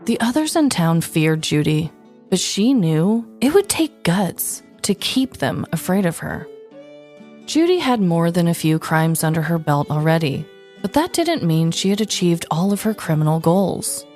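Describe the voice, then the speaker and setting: A woman co-hosting a podcast with an expressive, dramatic way of talking, both bantering and telling stories. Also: fairly low pitch, a little slow, soft background music. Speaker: podcast co-host. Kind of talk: casual banter and scripted comic storytelling.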